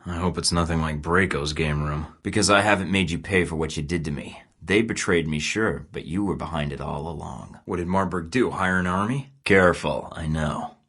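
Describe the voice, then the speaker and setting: A man's voice: deep voice, normal speed, few pauses, a bit bright, slightly rough, heavily acted. An actor playing a terse, dry-witted male spy agent in game voice lines. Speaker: video game character.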